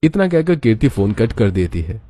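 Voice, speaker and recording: A man's voice: lowish voice, very animated, moderate pace, warm tone. A man narrating a scripted cartoon story. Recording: music behind the voice. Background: background music.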